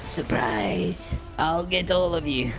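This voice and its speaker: A man's voice: fairly high pitch, animated, takes it slowly, music in the background. A man voicing a ravenous, crazed swamp monster in game roleplay, in an exclamatory manner. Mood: gloating.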